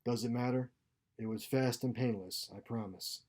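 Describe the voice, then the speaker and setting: An uneasy, dutiful space navy lieutenant, as a male storyteller reads him, speaking at medium pitch with a relaxed timbre. His manner is subdued, reluctant and conciliatory. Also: measured pace, natural voice. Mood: apologetic. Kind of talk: short story read aloud.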